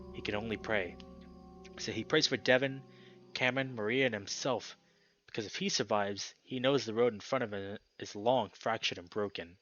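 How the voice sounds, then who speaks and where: A young adult man reading a visual novel aloud with expressive narration and excitable, emphatic commentary. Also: medium pitch. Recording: slightly dull audio, music behind.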